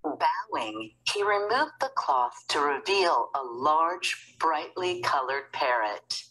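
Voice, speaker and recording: A woman narrating a children's book aloud in an expressive storytelling style. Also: deep voice, slow, rough recording.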